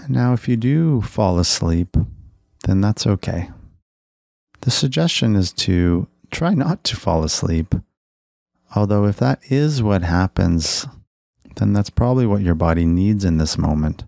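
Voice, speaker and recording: A man with a low voice guides a relaxation practice at a very unhurried pace. His delivery is gentle and instructional, with noticeable vocal fry at the edges. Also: dry recording, clean studio sound. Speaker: meditation guide. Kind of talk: guided body scan meditation.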